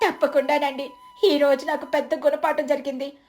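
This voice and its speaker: A woman's voice: high voice, level tone, brisk, a little mellow, faint music behind, pitched above the performer's own voice, close to an everyday voice. A woman voicing a stingy, deferential village wife who makes excuses in a pleading, apologetic way in a moral story. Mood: remorseful. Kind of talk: dramatised Telugu moral story acting.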